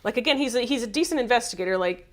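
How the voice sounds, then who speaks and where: A woman's voice: on the high side, expressive, racing. A woman with a Canadian accent talks as a guest on a casual live stream. She explains her ideas at length in a thoughtful, conversational way. She uses frequent "like" fillers as she talks. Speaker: guest from the game studio.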